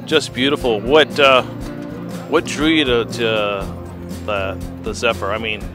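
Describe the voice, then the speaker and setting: A man's voice: medium pitch, fairly even intonation, measured pace. A middle-aged man hosting a car show, casually interviewing an owner with a handheld mic and admiring the car. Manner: enthusiastic, admiring, often says wow. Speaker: host. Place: outdoor car show on a lawn. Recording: music in background.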